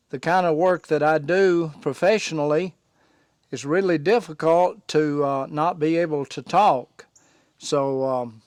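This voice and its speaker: A middle-aged man, hoarse from laryngitis, talking casually to the camera as a farm vlog host under a sawmill shed, unhurried and full of pauses and fillers. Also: on the high side, a little mellow, no room sound, studio quality.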